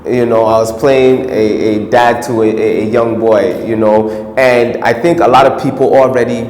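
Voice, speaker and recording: American guy chatting loosely about his acting, lots of ums, boomy room with long reverb. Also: normal speed, a little hiss or hum. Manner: relaxed, rambling with fillers and asides. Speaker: film actor. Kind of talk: casual interview answers.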